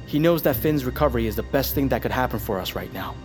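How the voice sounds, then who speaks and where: A man's voice: mid-range voice, animated, fast, over music, natural voice. A man playing a skeptical, exasperated doctor in a naturalistic audio drama, with a clipped, sarcastic manner. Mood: grave, reluctant. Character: skeptical, exasperated male doctor guarding his experimental drug. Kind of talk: naturalistic fiction podcast acting.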